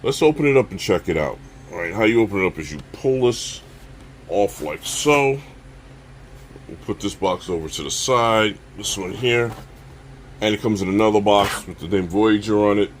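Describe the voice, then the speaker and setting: A man hosting a casual, unscripted gear review, talking straight to viewers and often checking in with a quick okay or all right. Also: on the low side, expressive, a little hiss or hum. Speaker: survival channel host reviewing gear.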